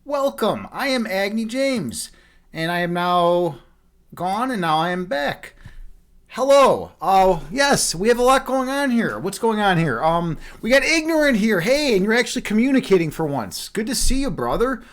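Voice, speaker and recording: A man's voice: high voice, very animated, normal speed. A young man with a Chicago accent, casually chatting with viewers on a live stream, laid-back and chatty, calling people man and dude. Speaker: streamer and chess instructor.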